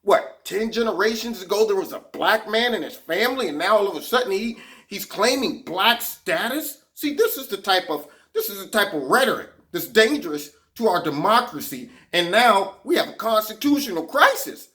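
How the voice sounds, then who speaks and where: A man's voice: sits high, animated, normal speed, bright, forceful tone. Sarcastic man hosting a political vlog. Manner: sarcastic, repeats words when heated. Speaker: vlog host.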